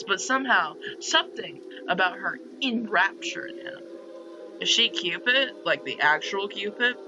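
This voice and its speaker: A man's voice: sits high, low-quality recording. A man narrating a visual novel's text aloud, dropping in casual asides as a game host.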